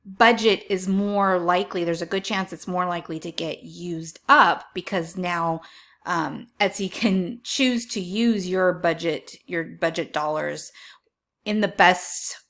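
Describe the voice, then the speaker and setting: Female online seller for an informal tips video: crisp bright tone, a touch lower-pitched, measured and emphatic explanatory delivery.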